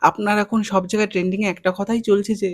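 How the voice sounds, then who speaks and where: A man's voice: high-pitched, flat delivery, very fast. A man hosting a prank phone call, chatting casually in a teasing, needling, mock-lovelorn way. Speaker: prank-call video host.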